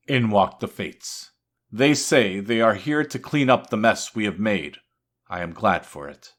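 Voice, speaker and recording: A man giving a dramatic, scripted poetry reading in a solemn, declamatory manner. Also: low voice. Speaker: poetry reader.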